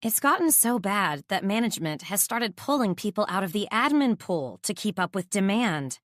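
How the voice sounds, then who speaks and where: A woman's voice: mid-range voice, big pitch swings, moderate pace, a bit bright, dry recording, studio quality. A woman playing an anxious, wry young assistant, narrating a first-person romance audiobook in a dramatic, self-deprecating way.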